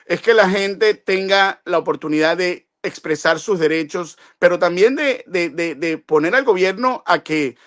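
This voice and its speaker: A passionate man, speaking as a legal guest on a news program. His voice sits high for a man, and he presses his points hard, sometimes spiking sharply when he demands proof. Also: unhurried, few pauses, fairly bright tone. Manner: passionate, emphatic, rising to outbursts. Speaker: immigration lawyer guest. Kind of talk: impassioned interview answers.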